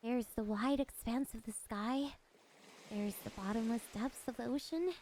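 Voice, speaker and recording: A woman playing a lonely, possessive siren in an ASMR roleplay, speaking in a wistful, gently coaxing and politely menacing way. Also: fairly high pitch, unhurried, slight background noise. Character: lonely, possessive female siren, curious and soft-spoken. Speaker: voice actress.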